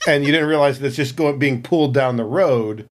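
A man with an average-pitched voice chats casually on a podcast. He tells stories informally, as if among friends. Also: fairly quick. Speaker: podcast cast member. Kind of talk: casual chat, anecdotes.

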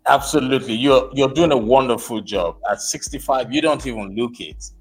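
A male podcast host at a middle pitch who speaks emphatically, pressing his listeners to take action. He spells out names letter by letter and thanks his guest warmly, with faint music playing behind him. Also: normal speed, low-quality recording. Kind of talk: motivational interview show.

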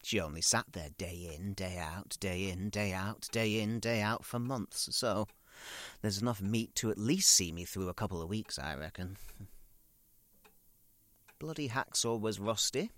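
A man's voice: fairly low pitch, a little slow, fairly bright tone, no echo. A man playing a fussy, self-pitying grown son in an audio drama monologue, chattily grumbling and rambling in darkly comic asides. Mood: matter-of-fact.

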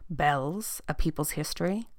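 A woman voicing a mild, bookish king in a fairy tale, measured and formal. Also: low voice, no echo, clean studio sound. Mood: mild, vague.

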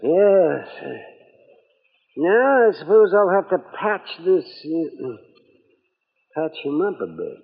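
Slow, halting radio doctor man. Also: high voice, big pitch swings, full character voice. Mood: matter-of-fact. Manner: halting, deliberate. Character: apologetic, hesitant male doctor, mild-mannered. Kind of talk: old-time radio drama acting. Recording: tinny old radio, reverberant.

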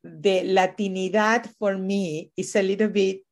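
A woman's voice: medium pitch, steady tone, measured pace, a little mellow. A middle-aged woman from Chile, raised in Venezuela, talking as a university administrator and professor in an interview, thoughtful and discursive with many fillers and restarts. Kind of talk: reflective interview conversation.

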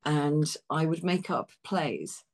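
A woman's voice: low-pitched, lively intonation, slow pace, vocal fry. A woman with an English accent chatting casually as an interview guest, effusive and emphatic, often hesitating mid-sentence. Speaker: interview guest, theatre practitioner. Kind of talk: casual chat.

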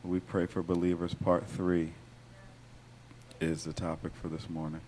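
A pastor preaching a sermon in a hoarse, raspy voice, building energy and talking directly to his congregation. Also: low voice, level tone, measured pace, a little mellow, slight background noise. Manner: preaching with rising call-and-response energy. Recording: sermon with organ music behind. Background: organ and instrumental music.

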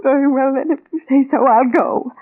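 A woman's voice: sits high, brisk, lightly acted. An actress in a 1940s radio drama playing a clinging, imperious, suspicious middle-aged mother-in-law. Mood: fussy clinging. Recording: narrow tinny old radio recording.

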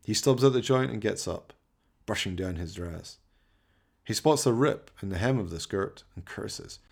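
A man's voice: lowish voice, animated, moderate pace, gravelly, darkish tone, breathy edge. A man delivering theatrical, scripted narration for a comic horror radio play, in an arch, melodramatic manner.

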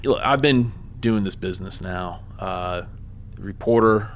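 Very deep-voiced joking male reporter. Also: measured pace, a little hiss or hum. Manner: joking, rambling, many uh fillers. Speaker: reporter, guest. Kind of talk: casual interview talk.

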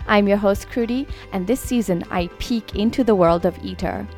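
A woman's voice: mid-range voice, normal speed, a little mellow, soft background music. A woman hosting a podcast, reading scripted narration. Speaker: podcast host and interviewer.

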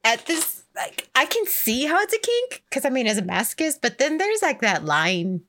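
A woman's voice: sits high, big pitch swings, moderate pace, pushed and bright. A woman co-hosting a casual podcast, joking along and laughing often. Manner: giggly, playful. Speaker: podcast co-host. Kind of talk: casual chat.

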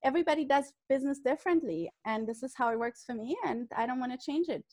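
A woman's voice: high-pitched, a little mellow. A German-born woman in her thirties with a German accent, answering interview questions frankly, laughing easily and restarting her sentences. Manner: frank, self-deprecating, laughs often, halting restarts. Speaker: guest, real estate agent.

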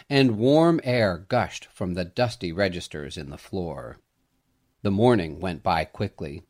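A man reading a scripted Christmas story aloud in an expressive storytelling manner. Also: low-pitched. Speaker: storyteller, podcast host.

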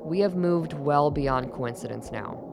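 Composed older woman doctor, low-voiced acted by a woman. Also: vocal fry, background noise. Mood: earnest, firm. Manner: measured, formal, persuasive. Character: composed, secretive older woman doctor defying her former master. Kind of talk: radio drama acting.

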